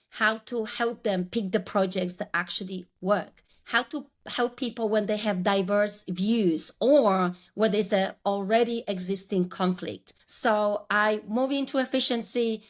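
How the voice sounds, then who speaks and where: A woman's voice: medium pitch, a little slow, few pauses. Woman, Polish accent, forties, podcast guest, reflective storytelling, restarts mid-sentence. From Poland. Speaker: guest, branding coach. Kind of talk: podcast interview.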